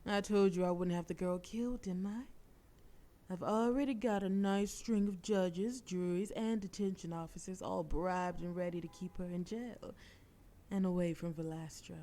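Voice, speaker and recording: A woman's voice: lowish voice, fairly even intonation, normal speed, warm tone, natural voice. A woman playing a smug female mafia boss. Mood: cocky, teasing. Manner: smug, teasing, commanding. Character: cocky, flirtatious female mafia boss, ruthless planner. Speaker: audio roleplay performer. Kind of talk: scripted audio roleplay.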